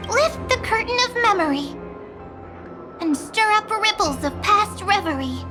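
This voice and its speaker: A mysterious young sprite girl for a video game. Her voice is extremely high and lively, lingering slowly over words in a playful, protective way. Music plays behind her. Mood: confident, inviting.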